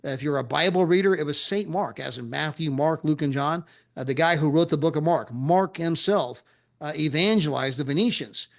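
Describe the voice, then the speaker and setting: Middle-aged American man, lively promoter, rapid-fire destination lists, slightly high voice. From USA. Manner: animated, repetitive, chuckling asides. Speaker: travel club promoter. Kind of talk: enthusiastic sales pitch.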